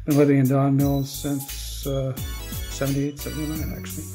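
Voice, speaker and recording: A Canadian man speaking as a guest poet on an arts podcast in a deliberate, halting manner. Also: medium pitch, flat delivery, mellow, faint music behind. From Toronto area, Canada. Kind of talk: casual self-introduction and slow poetry reading. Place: studio.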